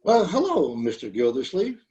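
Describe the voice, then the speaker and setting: A man's voice: fairly high pitch, huge pitch swings, moderate pace, roomy sound, dull audio, very noisy. A man playing a mild, fussy old shopkeeper, hesitant and evasively polite, in an old-time radio comedy, recorded over a video call. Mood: obliging.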